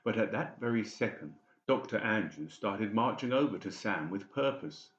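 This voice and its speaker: A man's voice: lowish voice, expressive, normal speed, a bit bright, reverberant, highs cut off. A man reading scripted, dramatic narration as an audiobook narrator.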